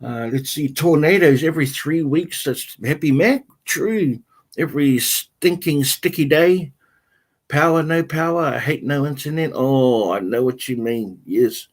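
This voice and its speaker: Slow-talking New man hosting. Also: on the high side. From New Zealand. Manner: answers viewer comments one by one, halting and unhurried. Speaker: livestream host. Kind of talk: casual livestream chat.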